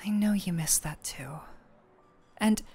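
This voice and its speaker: A young woman playing a shy, smitten former coworker in an intimate romantic audio roleplay, speaking softly and haltingly. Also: lowish voice, husky, soft background music. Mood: wistful, hesitant. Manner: shy, halting, soft-spoken.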